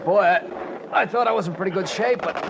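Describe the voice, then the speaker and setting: An actor playing an exhausted, freezing mountain climber in a 1950s radio drama, speaking in halting phrases. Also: male voice, high-pitched, creaky. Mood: weary, miserable. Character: exhausted, freezing male climber, eager but weakening. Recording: noisy 1950s radio broadcast recording.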